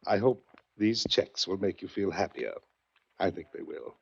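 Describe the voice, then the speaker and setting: An actor playing a shrewd, composed, wealthy yacht owner in a 1940s radio mystery, measured and courteous. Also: male voice, sits low, animated, slightly rough, heavily acted. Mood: composed, satisfied. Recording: dull vintage radio recording.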